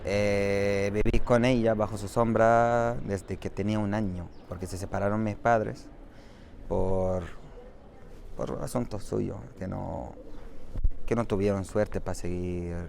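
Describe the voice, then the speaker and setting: A man's voice: lowish voice, fairly even intonation, takes it slowly, creaky, darkish tone. A man, talking through his answers in a casual interview outdoors and correcting himself as he goes. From Saudi Arabia. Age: 30s. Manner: thoughtful and explanatory, with frequent self-corrections. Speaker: interviewee, doctoral student. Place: outdoors on a city street. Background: faint traffic.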